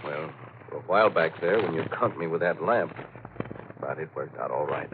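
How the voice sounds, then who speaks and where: An actor playing a calm, matter-of-fact detective in a 1940s radio thriller, dry and steady. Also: male voice, extremely low pitch, normal speed. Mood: dry, explaining. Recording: tinny, noisy old radio recording.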